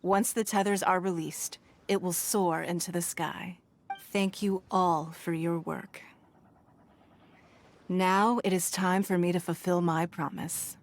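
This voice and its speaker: A woman voicing a shrewd, wealthy female city leader who speaks in a measured, authoritative, formal way. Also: fairly low pitch, steady tone, slight background noise. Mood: calm. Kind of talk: game cutscene voice acting.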